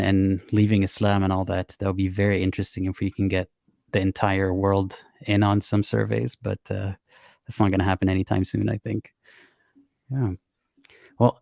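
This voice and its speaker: A man from the Middle East, a native Arabic speaker, hosting a casual call-in livestream in a calm, even-tempered way. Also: low voice, gravelly, no echo, clean studio sound. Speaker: livestream host.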